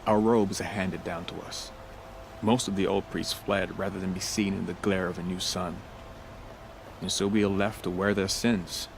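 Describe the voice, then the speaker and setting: An actor playing a humble, remorseful priest in a video game, speaking in a measured, formal, earnest way. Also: male voice, sits low, flat delivery, gravelly, noisy background. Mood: mournful, reverent. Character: solemn, grieving young priest, humble and remorseful.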